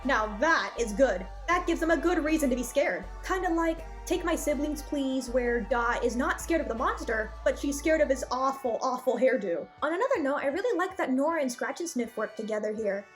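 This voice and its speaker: A woman reviewer giving casual, opinionated cartoon commentary with animated asides and exclamations. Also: high-pitched, normal speed, hardly any pauses, soft background music. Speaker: video reviewer.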